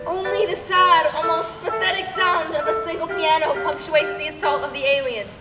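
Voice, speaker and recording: A woman's voice: extremely high pitch, fairly even intonation, moderate pace, loud music behind the voice. A woman playing a solemn, overwrought radio announcer with grave, dramatic delivery in a stage radio play. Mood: solemn dread. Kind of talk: mock 1930s radio broadcast acting on stage. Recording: distant echoey hall, clear noise.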